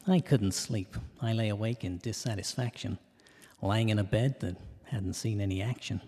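A man narrating scripted comic rhyming verse in a measured, deliberate storytelling delivery. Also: fairly low pitch, a little mellow, slightly rough. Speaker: narrator of a comic detective podcast. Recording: long reverberant hall echo.

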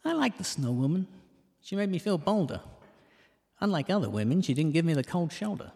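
A man playing a cheeky, self-mocking detective who narrates a comic audio drama in rhyme, landing puns deadpan. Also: on the high side, brisk, vocal fry, husky, heavily acted. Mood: wry, cheeky. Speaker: narrator and lead character. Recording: reverberant hall sound.

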